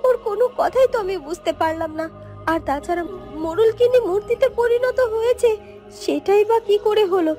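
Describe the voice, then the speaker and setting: A woman voicing a gentle, wronged village girl in a fairytale cartoon, earnest and pleading, with halting repeated phrases. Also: very high voice, faint music behind. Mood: puzzled, musing. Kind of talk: Bengali fairytale cartoon voice acting.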